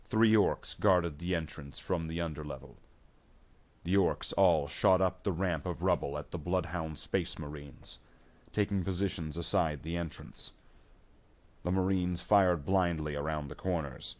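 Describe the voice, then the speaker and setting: A man reading a scripted action story aloud in a measured, dramatic way as its narrator. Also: low-pitched.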